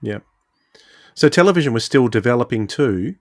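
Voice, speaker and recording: A man's voice: medium pitch, expressive, normal speed, darkish tone, dry recording, clean studio sound. A man interviewing a guest on a podcast in a conversational style.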